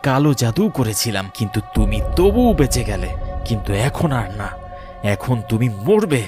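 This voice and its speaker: A man's voice: mid-range voice, big pitch swings, normal speed, over music, natural voice. A man voicing a cruel, treacherous young lover in a cartoon horror story, with dramatic delivery. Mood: cold menace.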